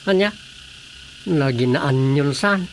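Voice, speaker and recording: Man acting slow, creaky-voiced grumbling Ilocano husband. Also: fairly high pitch, lively intonation. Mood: teasing. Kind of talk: radio drama comedy acting. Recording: noisy, insect-filled ambience behind.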